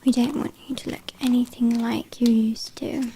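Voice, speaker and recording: A British woman playing a haughty, condescending vampire kidnapper in a breathy, whispered ASMR roleplay, with a dryly teasing manner. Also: a little high, little pitch movement, warm tone, a little hiss or hum, close to an everyday voice. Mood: haughty, amused. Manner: slow, disdainful, dryly teasing.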